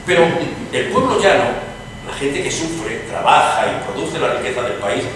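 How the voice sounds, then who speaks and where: An actor plays an eloquent liberal gentleman in radio theatre, speaking in a measured, oratorical way. His voice sits a little high. He is heard from afar in a very echoey, noisy hall. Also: sing-song, distant mic. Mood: earnest. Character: earnest, eloquent Spanish liberal revolutionary gentleman. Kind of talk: dramatized stage reading, radio theatre.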